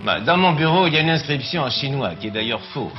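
Male interviewer, mid-pitched, conversational archive clip with clear music behind. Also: animated, fast, lo-fi sound.

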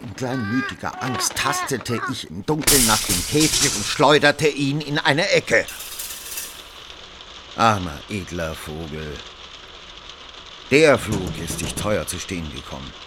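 A man playing a brooding first-person narrator in a radio drama, telling a literary tale in a measured, dramatic way. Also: fairly low pitch, sing-song, background noise. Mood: agitated.

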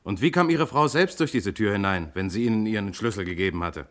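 An actor playing a composed police inspector in a German radio crime drama, questioning suspects with pointed insistence. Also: male voice, lowish voice, animated, a little fast, a bit bright. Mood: probing, insistent.

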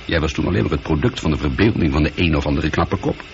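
A man's voice: a bass voice, expressive, fast. An actor playing a weary, irritable, proud astronaut in a radio play, sardonic and volatile in his delivery. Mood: wry, sardonic. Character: weary, irritable, proud middle-aged male astronaut alone aboard. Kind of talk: scripted radio play acting. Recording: dull old radio recording, faint noise.

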